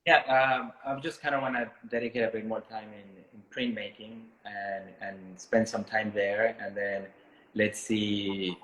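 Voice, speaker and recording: A man from Miami talking casually and reflectively as an interviewed artist, thoughtful and halting as he searches for words. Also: fairly low pitch, little pitch movement, a bit bright, slight background noise. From Miami, United States, born in Ecuador. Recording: echoey room sound.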